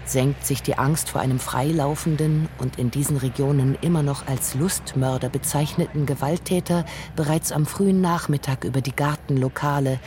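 A woman's voice: low-pitched, mellow, slight background noise, close to an everyday voice. A woman narrating a literary crime radio drama in a brooding, solemn way.

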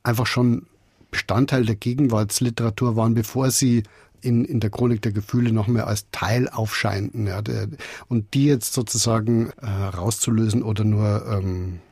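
Guy being interviewed about directing radio plays, husky voice, dry close sound, feels around for words. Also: fairly low pitch, fairly even intonation, moderate pace, darkish tone, no room sound. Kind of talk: conversational interview anecdotes.

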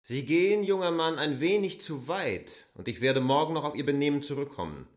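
An actor playing a stubborn, self-assured traveling land surveyor in a radio drama, speaking formally and firmly. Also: male voice, on the high side, lively intonation, a little fast, natural voice. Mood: indignant. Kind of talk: German radio drama acting. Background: mallet percussion music.

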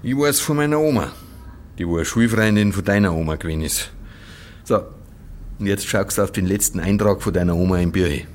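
A man's voice: low voice, a little fast, darkish tone, slight background noise, close to an everyday voice. An actor playing an insistent, scheming young village man in a radio drama, explaining emphatically with a Bavarian accent. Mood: urging, persuasive.

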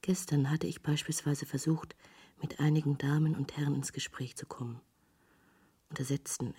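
A disillusioned woman traveller in a literary radio drama. Her voice is low and dark, with a strongly husky, breathy grain. She speaks in a measured, dryly reflective manner. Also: heavily acted. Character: disillusioned female visitor to hell, wistfully reflective narrator.

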